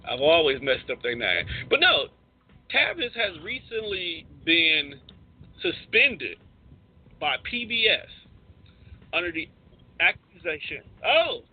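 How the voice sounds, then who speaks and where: An American man with a husky voice hosting an informal radio talk show, searching for his words as he goes. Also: fairly high pitch, big pitch swings, measured pace, pauses often. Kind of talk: casual topical talk. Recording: narrow tinny phone-like line.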